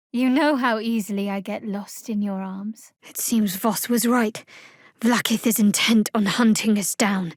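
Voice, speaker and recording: An actress voicing a guarded, wry and devout young female cleric in a fantasy video game, with a cool, measured, dryly sardonic delivery. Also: a little high, no echo, clean studio sound, lightly acted. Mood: dry, teasing, then composed, wry.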